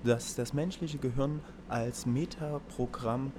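A formal male lecturer, acted by a man with a probing, declamatory way of talking. He sounds like part of an experimental radio play, recorded with clear background noise. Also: mid-range voice, slow, close to an everyday voice. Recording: noisy amateur recording.

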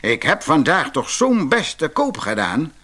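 A man voicing a proud, excited, boastful cattle merchant in a storybook. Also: on the high side, slow, exaggerated voice. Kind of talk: storybook character voice.

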